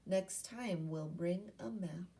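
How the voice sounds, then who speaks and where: A woman's voice: lowish voice, takes it slowly, creaky, mellow, recorded from a distance. A woman primary school teacher giving an interactive read-aloud lesson to young children, asking questions and repeating key points in an instructional way.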